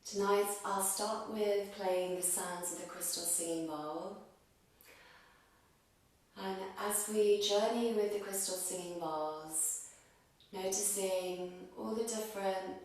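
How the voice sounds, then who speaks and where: A woman teaching yoga nidra, guiding a meditation gently and unhurriedly in short phrases with pauses between them. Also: lowish voice. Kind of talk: guided meditation, slow soothing instruction. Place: reverberant room, recorded from a distance. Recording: very echoey room, distant microphone.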